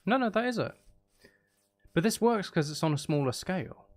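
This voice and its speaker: Man musing aloud with a creaky voice. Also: high-pitched, animated, moderate pace, faint music behind. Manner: musing, self-questioning, talking half to himself. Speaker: live streamer. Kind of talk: casual gaming livestream chat, thinking aloud while building.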